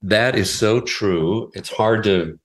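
A man's voice: on the low side, slow. A middle-aged man, a radio station president, talking casually as an interview guest, rambling a little with restarts and light chuckles. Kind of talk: conversational interview.

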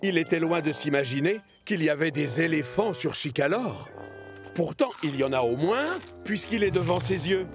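Slightly high-voiced male cartoon storyteller. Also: normal speed, over music. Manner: expressive storyteller. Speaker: narrator. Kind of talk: children's cartoon storytelling narration.

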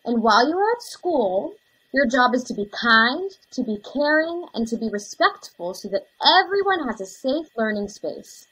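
A young woman playing an earnest, caring elementary school teacher in a scripted dramatization, slightly breathy. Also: a little high, very animated, moderate pace, fairly bright tone, heavily acted, low-quality recording. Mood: earnest, tender. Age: young adult. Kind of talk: scripted dramatization on video call. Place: indoors, plain backdrop, video call.

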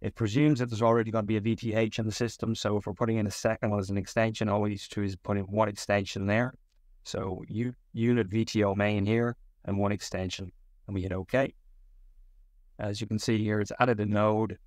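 A man with a fairly low voice leads a technical tutorial. He explains each step of a software setup in an instructional way. The sound is dry, with very little room in it. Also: flat delivery, fairly quick, no room sound. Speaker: tech support manager giving a tutorial.

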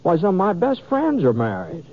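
Hesitant cowboy on dull old radio. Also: male voice, high voice, wildly swooping pitch, moderate pace, heavily acted. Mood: awkward musing. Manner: hesitant, stammering, drawling. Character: bashful, flustered western bachelor cowboy. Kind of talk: 1950s radio western drama acting. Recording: dull old radio recording, clear noise.

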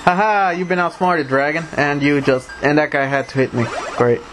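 Grumbling Mexican-accented gamer, higher voice. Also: male voice, fairly even intonation, moderate pace, background noise. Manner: grumbling, talks to the game characters, hums and repeats himself. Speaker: let's play commentator. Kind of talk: casual gameplay commentary. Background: retro game music.

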